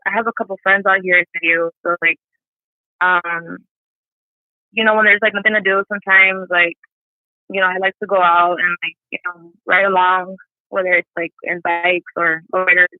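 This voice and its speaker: A woman's voice: fairly low pitch, level tone, moderate pace, dry recording. A young woman chatting casually as an interview guest over a phone call, peppering her talk with "like" and "you know.". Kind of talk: casual chat. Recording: tinny phone line, dry.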